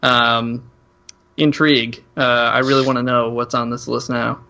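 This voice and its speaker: A man's voice: mid-range voice, flat delivery, unhurried, bright, forceful tone, lo-fi sound. Wry man bantering on a podcast. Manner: dry, wry. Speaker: podcast host. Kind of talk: casual comic podcast chat.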